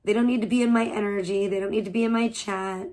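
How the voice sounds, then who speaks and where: A woman in her thirties streaming live from home, chatting loosely with viewers, slightly hoarse and swearing freely between laughs. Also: mid-range voice, steady tone, fast talker, darkish tone. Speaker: livestreamer.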